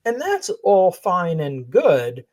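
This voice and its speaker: A woman's voice: fairly low pitch, huge pitch swings, takes it slowly, darkish tone. A woman coach who grew up in Israel, talking as a guest on a podcast in an earnest, thoughtful way and laughing often. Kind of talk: reflective interview talk.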